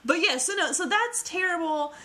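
A woman's voice: very high-pitched, animated, moderate pace, bright, forceful tone. A young woman co-hosting a casual comedy podcast, crude and joking, often laughing as she talks. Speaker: podcast co-host.

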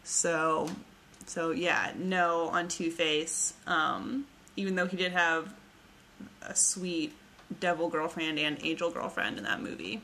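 A woman's voice: sits low, slow, bright, forceful tone, slight background noise. A young woman co-hosting a casual comedy podcast, crude and joking, often laughing as she talks. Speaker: podcast co-host.

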